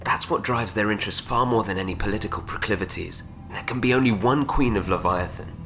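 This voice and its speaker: An actor playing a solemn, insistent advisor in an audio drama, speaking formally and persuasively. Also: male voice, lowish voice, a little fast, fairly bright tone, soft background music. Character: solemn, insistent male advisor to an immortal society's future queen.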